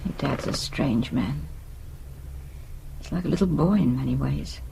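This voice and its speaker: A woman's voice: a bass voice, a little slow, gravelly, noisy background, heavily acted. An actress playing a resigned, anxious middle-aged British wife and mother in a naturalistic radio drama, hesitant and wistful.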